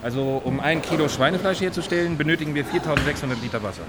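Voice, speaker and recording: Academic expert, male actor, didactic and slightly halting, higher voice, radio drama on noisy location. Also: lightly acted. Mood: matter-of-fact. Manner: didactic, hesitant, with checking tags. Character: explanatory male nutrition and waste scientist. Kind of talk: naturalistic radio drama in reportage form. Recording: noisy outdoor-like ambience behind the voice.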